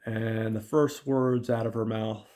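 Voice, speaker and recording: A man hosting a podcast whose voice is raspy from illness, chatting loosely through a film review with many ums and uhs and talking to his listeners as guys. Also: on the low side, fairly even intonation, measured pace, mellow. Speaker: podcast host.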